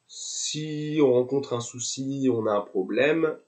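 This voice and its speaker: A young French man with a French accent, teaching basic phrases by saying each one slowly and repeating it. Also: medium pitch, darkish tone. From France. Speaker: language tutor. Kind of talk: slow, patient language lesson.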